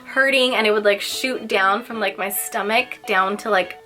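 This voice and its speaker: A woman's voice: mid-range voice, normal speed, bright, forceful tone, soft background music. A young woman vlogging casually, slightly nasal and congested, chatty with lots of filler words. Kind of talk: casual personal vlog.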